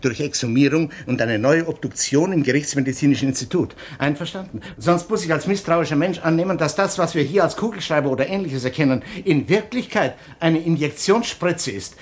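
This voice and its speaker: An actor playing a shrewd, suspicious police inspector in a 1960s German radio crime drama, speaking deliberately with sardonic, insinuating questions. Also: male voice, a little high, husky. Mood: sardonic, accusing.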